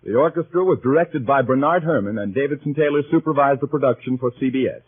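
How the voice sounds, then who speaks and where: Husky 1930s radio announcer man. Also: medium pitch, fast talker. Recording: phone-like vintage radio broadcast, faint music.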